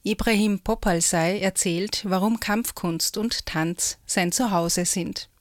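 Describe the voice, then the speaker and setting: A woman hosting a podcast, introducing the episode and asking clear, structured questions. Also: medium pitch, slow pace. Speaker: podcast host. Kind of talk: interview, scripted intro.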